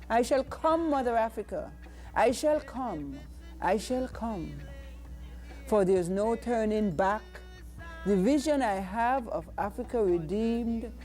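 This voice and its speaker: An older Jamaican woman reciting poetry in a solemn, measured, declamatory manner. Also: fairly high pitch, mellow. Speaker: poet.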